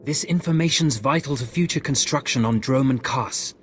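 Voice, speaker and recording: An actor playing an earnest, worried Imperial expedition organizer in a video game, grave and businesslike. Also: male voice, medium pitch, moderate pace, lightly acted. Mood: urgent, persuasive. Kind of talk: video game cutscene acting.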